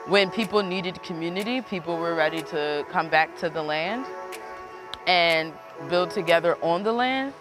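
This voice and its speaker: Male urban farmer, higher-pitched voice, reflective interview delivery, music clearly underneath. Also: normal speed.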